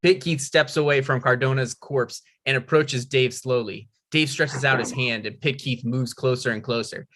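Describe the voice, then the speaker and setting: A man with a bright, forward voice hosts a comedy table read. He narrates screen directions and talks informally to introduce the material. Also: medium pitch, fairly even intonation, normal speed, few pauses. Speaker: table read host, stage-direction reader and performer.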